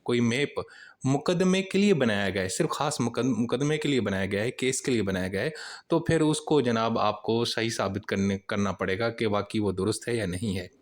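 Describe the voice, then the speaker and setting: A man's voice: mid-range voice, moderate pace. A man with a Pakistani accent teaching as a law lecturer, informally explaining and rephrasing each point in plain words with conversational tags. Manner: conversational tag phrases.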